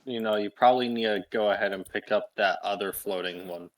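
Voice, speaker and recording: A man's voice: lowish voice, fairly quick, rough recording. A man chatting casually as co-commentator on a game, with a dry, teasing manner. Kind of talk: casual gaming chat. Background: game music.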